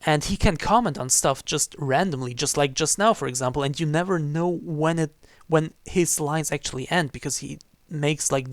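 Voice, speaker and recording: A man's voice: on the high side, dry recording. A man giving casual livestream commentary on a game, rephrasing himself as he goes. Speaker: game streamer. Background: faint game music.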